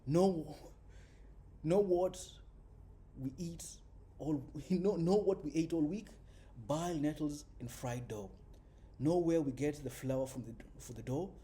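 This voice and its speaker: A man's voice: fairly high pitch, slow, pauses often, mellow. A man voicing a poor, desperate migrant farm worker in a storybook reading, folksy and urgent. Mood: bitter, desperate. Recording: faint background noise.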